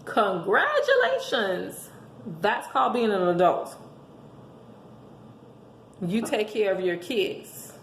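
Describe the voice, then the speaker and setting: A hoarse woman giving an emphatic, preachy motivational monologue, speaking as a host handing out relationship advice to listeners. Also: medium pitch, very animated, takes it slowly, long pauses. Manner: emphatic, preachy, rhetorical questions. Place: indoors at home. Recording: echoey room with faint background noise.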